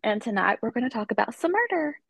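A woman's voice: a little high, big pitch swings, fast, gravelly, a bit bright, slightly rough, no echo. A woman hosting a podcast, telling a true-crime story in a chatty, casual way while stuffed up with a cold. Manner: chatty, conversational, many fillers. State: congested with a cold. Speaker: podcast host.

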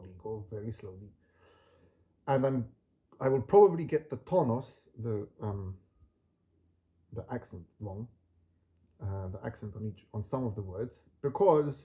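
Soft-spoken man, reflective, lo-fi. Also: lowish voice. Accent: non-native Greek pronunciation when reading. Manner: gentle, unhurried, reflective with soft trailing endings. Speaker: podcast host. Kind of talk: relaxed spoken monologue with poetry reading.

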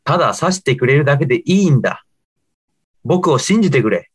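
A man's voice: mid-range voice, sing-song, brisk, husky. Guy playing an earnest young student in a radio drama, voice moves up and down a lot. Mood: pleading, solemn. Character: earnest young male alien living as a student.

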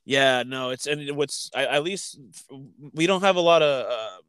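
A man chatting casually as a podcast co-host, haltingly thinking aloud as he goes. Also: mid-range voice, lively intonation, a bit bright, clear, resonant tone, dry recording. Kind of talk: casual chat.

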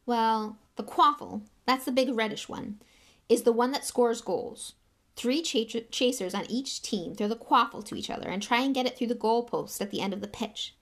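A woman's voice: fairly high pitch, normal speed, a bit bright. Woman voicing a resigned, patient schoolboy. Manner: resigned, matter-of-fact explaining. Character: weary reluctant boy, patient explainer. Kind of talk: audiobook character voice.

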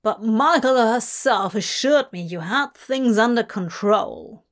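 A woman's voice: a little high, takes it slowly. A woman voicing a furious, hateful male archangel in a story reading, growling and mocking as he threatens. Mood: menacing. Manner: growling, snarling, roaring. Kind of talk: dramatic audiobook-style fiction reading.

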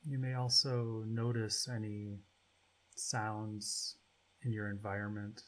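A man leading a guided meditation as its guide, speaking in short, spacious phrases with long pauses between them. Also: fairly low pitch, little pitch movement.

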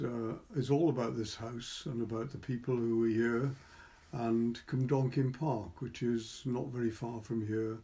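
A Welsh man with a soft, dark-toned voice of middling pitch. He speaks as a curator and reader, moving through explanation and literary prose in a relaxed way. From Wales. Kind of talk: informal introduction and literary story reading.

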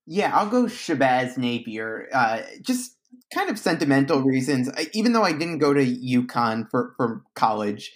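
Measured young man in his twenties. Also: mid-range voice, sing-song. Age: 20s. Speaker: podcast panelist. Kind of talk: casual panel chat.

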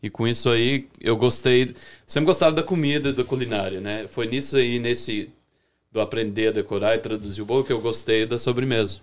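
A young Brazilian man with a Brazilian Portuguese accent, a chef chatting as a podcast guest in a relaxed way, often restarting his sentences. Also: mid-range voice, brisk. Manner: relaxed, talks with many restarts and self-corrections. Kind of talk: casual interview chat.

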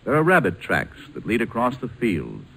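An American man announcing on 1940s radio, reading scripted commercial narration in a measured, lyrical manner. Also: on the low side, wildly swooping pitch, vocal fry, a bit bright, slight background noise. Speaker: radio announcer and sponsor spokesman.

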